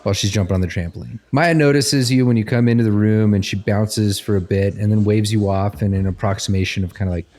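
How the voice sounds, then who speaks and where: A man with a fairly low voice acts as game master, narrating a scene in the second person. He describes the action as a story for the players. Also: quick pace, few pauses, darkish tone.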